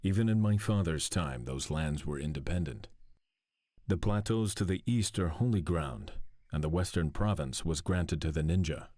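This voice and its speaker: A man's voice: sits low, normal speed, dark tone, dry recording, clean studio sound. A man playing a grave, resolute warrior clan heir in a game cutscene, speaking in a serious, commanding manner. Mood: measured, deliberating. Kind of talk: video game cutscene acting.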